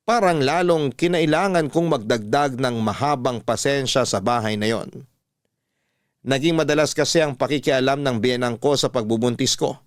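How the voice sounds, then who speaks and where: A man narrating a scripted letter in radio storytelling style, measured and confiding. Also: medium pitch, no echo, studio quality. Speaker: storyteller reading listener letters.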